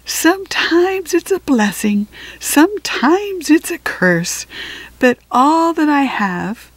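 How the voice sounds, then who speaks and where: Casting: kindly old homeless lady for a storybook, played by a woman, raised pitch, slow, gentle sing-song rhyme. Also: big pitch swings, no echo, full character voice, rough recording. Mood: earnest, gentle.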